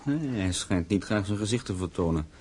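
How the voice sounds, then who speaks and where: An actor playing a cheerful, carefree young navy veteran and heir in a Dutch radio play, speaking with breezy confidence. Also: male voice, deep voice, lively intonation, normal speed, darkish tone, slight background noise. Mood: curious, relaxed.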